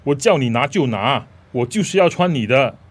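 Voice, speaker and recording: A man's voice: mid-range voice, animated, moderate pace, husky. A man playing an imperious, grumpy older rich master in a radio drama. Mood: imperious, irritated.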